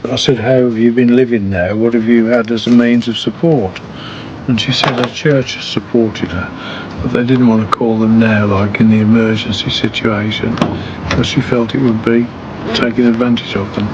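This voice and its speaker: A man's voice: mid-range voice, fairly even intonation, moderate pace, lo-fi sound, noisy background. A man working on an eviction, explaining the situation in a blunt, matter-of-fact way, recorded outdoors. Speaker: eviction officer. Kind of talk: on-location interview and comment.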